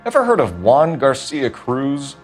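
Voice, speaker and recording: An actor playing a stern, methodical 1940s police detective, pressing a suspect in clipped lines. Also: male voice, medium pitch, huge pitch swings, measured pace. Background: music score.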